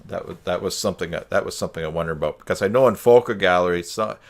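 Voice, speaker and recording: A man's voice: low-pitched, quick pace, creaky. A man in casual conversation on a group video call, thinking aloud in a rambling, tentative way. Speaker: participant in a web tutorial livestream.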